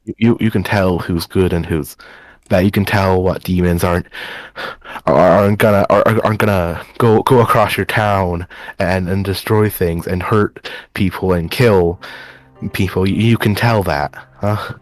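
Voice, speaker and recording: A man's voice: low voice, fairly even intonation, measured pace, no room sound. Man playing a stammering, suspicious anime questioner. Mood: probing. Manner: stammering, repeats words while pressing questions. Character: wary, sceptical male questioner distrustful of demons. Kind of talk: improvised anime video game roleplay.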